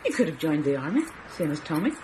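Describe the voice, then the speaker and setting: An actress playing a middle-aged wife, questioning and quietly disapproving, in a radio drama. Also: female voice, low voice, sing-song, fast, noisy background. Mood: probing.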